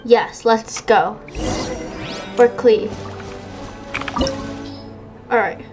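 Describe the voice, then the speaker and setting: High-voiced woman gamer, loud game music behind. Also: steady tone, very slow. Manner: doting, cooing, cheering on her characters. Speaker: gamer commentating a playthrough. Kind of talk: casual gameplay commentary. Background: loud video game music.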